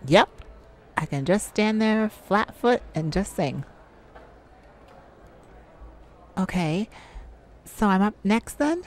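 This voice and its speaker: A woman's voice: medium pitch, lively intonation, slow, pitched below the performer's own voice. A woman voicing a shy, sweet, easily flustered young male singer in an ASMR roleplay, with a stammering, earnest manner. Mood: hopeful.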